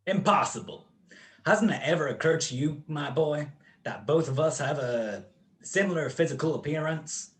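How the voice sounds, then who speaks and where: A man's voice: a little high, moderate pace, fairly bright tone, close to an everyday voice. A man acting a smug, cold-blooded criminal mastermind in a radio play, with precise, mocking, grandiloquent speech. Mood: sly, smooth.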